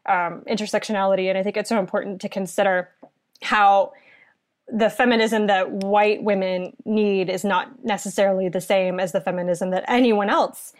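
A woman's voice: mid-range voice, fairly even intonation, normal speed. A young woman from southeastern Virginia recommending a book in a casual chat, earnest and thoughtful, rambling a little.

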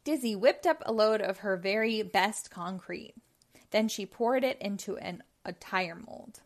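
A woman reading a story aloud for young children in a deliberate storytelling style. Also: mid-range voice.

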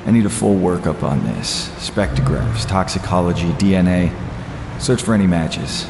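An actor playing a brooding, grim middle-aged vigilante hero in an audio drama, speaking in terse commands. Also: male voice, sits low, animated, moderate pace, creaky, background noise. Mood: commanding. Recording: echoey cavernous hall, music underneath.

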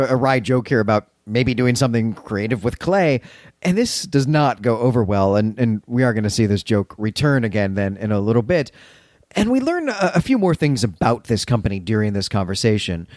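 A man's voice: medium pitch, sing-song, normal speed, darkish tone, dry recording, clean studio sound. A man co-hosting a podcast, retelling and discussing a story in an articulate, explanatory way. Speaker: podcast co-host. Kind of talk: literary recap discussion, semi-prepared retelling.

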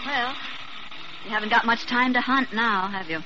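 A woman's voice: on the high side, normal speed, close to an everyday voice. An actress playing a playful, sardonic young woman who works at a hospital, in an old radio drama. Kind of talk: 1940s-50s American radio drama acting. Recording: muffled, noisy old radio recording.

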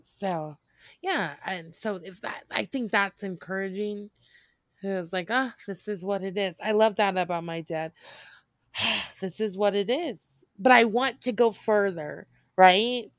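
A woman's voice: medium pitch, a little slow, dry recording, studio quality. An American woman chatting casually and candidly, in an informal, rambling way with self-interruptions and some swearing. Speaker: podcast co-host.

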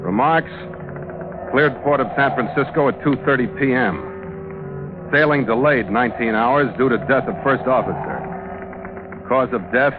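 An actor playing a tough, sardonic young American sea captain in the 1940s radio style, terse and wry. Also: male voice, medium pitch, a little slow, long pauses, lightly acted. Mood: clipped, formal. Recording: muffled old radio recording, music behind.

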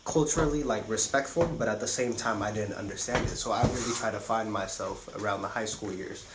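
Young man from Ethiopia, casual rambler, lowish voice, faint hiss behind. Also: fairly quick, roomy sound. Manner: loose and rambling, heavy use of "like". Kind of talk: casual chat.

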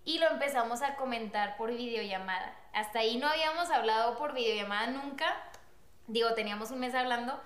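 A young woman telling a personal story in casual chat, animated and quick to laugh. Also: on the high side, roomy sound. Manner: animated, laughs often, acts out quotes. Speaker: guest telling their love story.

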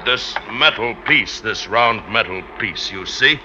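An actor playing a crude, simple-minded young French husband, speaking in blunt, clumsy phrases in an old radio drama. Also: male voice, on the low side, animated, measured pace. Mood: menacing. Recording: dull vintage radio recording with background noise.

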